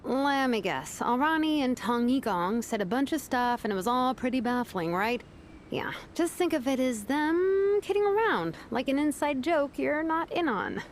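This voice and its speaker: A woman voicing a laid-back, wry young female vice captain who talks in a relaxed, teasing way. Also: high-pitched, normal speed, a little hiss or hum. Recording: game cutscene with background music.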